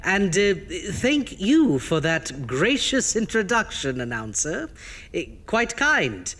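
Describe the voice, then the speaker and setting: A man playing a vain, prickly undead horror host, hammy and halting in the style of a campy radio horror show. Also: high voice, wildly swooping pitch, a bit bright, exaggerated voice. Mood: flustered, showy. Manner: hammy, halting, drawn-out showman delivery.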